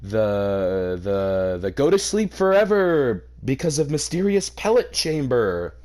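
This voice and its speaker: Animated man reading aloud with mocking commentary. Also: medium pitch, slow pace. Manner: mocking, sweary, theatrically exasperated. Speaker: host reading a fan fiction aloud and reacting. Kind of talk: comedic reaction reading, narration broken by commentary.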